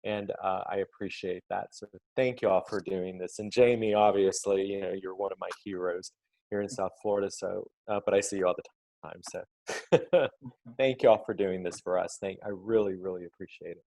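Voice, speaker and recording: A man's voice: sits low, steady tone, moderate pace, vocal fry, darkish tone, dry recording, rough recording. A man giving heartfelt, earnest remarks on a video call, often restarting his sentences. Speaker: Red Cross colleague thanking the panel.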